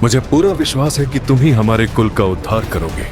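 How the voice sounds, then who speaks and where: A man's voice: medium pitch, expressive, a little fast, over music, lightly acted. A man playing a noble, kindly king in a fantasy audio drama, giving solemn, formal fatherly counsel. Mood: solemn, tender.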